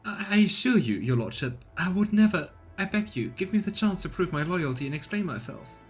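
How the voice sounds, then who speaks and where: A man plays an anxious noblewoman who governs a planet in a video game, pitched well up in a theatrical voice. She is fawning yet haughty, with formal phrasing, over faint music. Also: animated, fairly quick. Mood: pleading, frightened.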